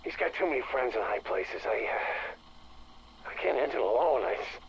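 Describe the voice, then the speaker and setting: An actor playing a shaken, guilt-ridden maintenance director, stammering through a recorded confession in a video game audio log. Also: male voice, medium pitch, lively intonation, creaky, slight background noise, rough recording. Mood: shaken, haunted. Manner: halting, stammering.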